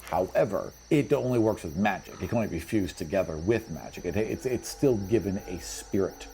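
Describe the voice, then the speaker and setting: A man's voice: low voice, lively intonation, fairly quick, creaky, noisy background. A man acting as game master, narrating a tabletop fantasy game in an explanatory, conversational way.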